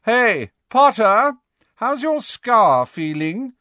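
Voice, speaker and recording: A man voicing a sneering teenage boy who taunts his rival in a high, slow, mocking drawl. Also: big pitch swings, pushed and bright, dry recording, studio quality, full character voice. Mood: taunting.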